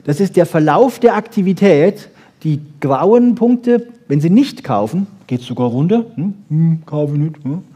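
A man giving a popular science lecture with wry humour, rhetorical questions and short checking tags, in a husky voice. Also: on the high side, animated, a little slow, mellow. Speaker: lecturer on brain research.

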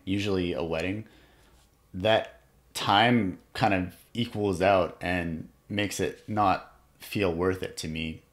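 A young man in his twenties hosting a solo podcast, talking in a reflective, earnest way with pauses as he thinks aloud, in a small room at home. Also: low voice. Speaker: podcast host. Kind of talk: solo motivational podcast monologue.

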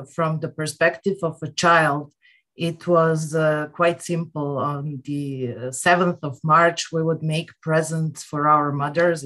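Woman, 30s, Serbian accent. Lowish voice, very slow and halting, thinking aloud as a panelist. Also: a bit bright, poor audio. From Serbia, born in Yugoslavia. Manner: halting, reflective, with frequent hesitations. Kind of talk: informal panel remarks. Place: indoor room at home, video call. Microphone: wired headset on a video call.

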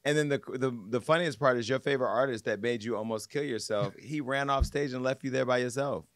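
A man's voice: medium pitch, fairly quick, no echo. A man in his forties hosting a podcast with casual comedic commentary, talking in slangy, mocking, sassy style. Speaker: podcast host. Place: podcast studio. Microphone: close studio microphone on desk.

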